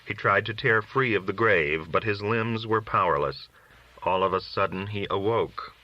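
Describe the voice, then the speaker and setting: Low-voiced man reading a story, dull sound. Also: normal speed. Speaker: audiobook reader. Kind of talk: scripted literary narration.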